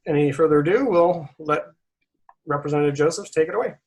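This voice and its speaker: A man hosting a candidate forum, speaking haltingly with many restarts and chuckling between points. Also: fairly high pitch. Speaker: forum host, moderator. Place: video call.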